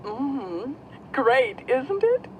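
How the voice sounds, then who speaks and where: A tender mother bat acted by a woman, reassuring and often breathy. The lines are unhurried and swing widely in pitch, with clear noise in the recording. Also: big pitch swings, exaggerated voice, poor audio. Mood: pleased. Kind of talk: children's storybook character voice.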